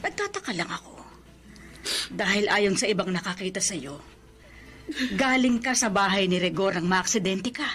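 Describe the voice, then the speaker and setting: A woman's voice: mid-range voice, animated, normal speed, pauses often, fairly bright tone, close to an everyday voice. An actress playing a curious woman who presses a friend with questions in a radio drama. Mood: probing. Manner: probing, questioning.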